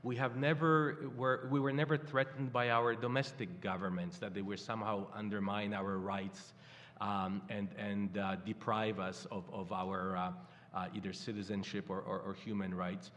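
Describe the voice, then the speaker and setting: An older man from Poland with a Polish accent, speaking haltingly as a guest at a live panel. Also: lowish voice, flat delivery, fairly bright tone. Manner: halting, many fillers and restarts. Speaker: campaign guest. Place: stage in a hall before a live audience. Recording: hall reverb.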